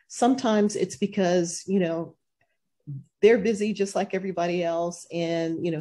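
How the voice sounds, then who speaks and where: A woman's voice: on the low side, mellow. An older woman farmer on a video call webinar, giving earnest practical advice with frequent "um" and "you know". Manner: earnest, advisory, many fillers. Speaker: farmer panelist. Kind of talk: webinar panel answer. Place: home office with ceiling fan. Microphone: video call webcam.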